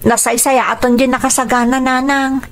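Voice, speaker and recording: A woman's voice: high-pitched, level tone, moderate pace. A woman playing a caring, cautious grown daughter in a radio drama, with a Filipino accent and an earnest, explanatory manner. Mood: earnest, advising.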